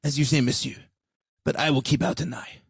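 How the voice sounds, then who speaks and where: An actor playing a polite but menacing restaurant lackey in an old-time radio detective drama, with a husky voice. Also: male voice, medium pitch, steady tone, brisk, dry recording, clean studio sound. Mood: menacing, obedient.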